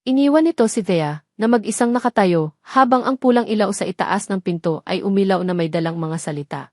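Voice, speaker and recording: Woman, dramatic story narration, solemn, measured, close dry sound. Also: mid-range voice, darkish tone, no room sound.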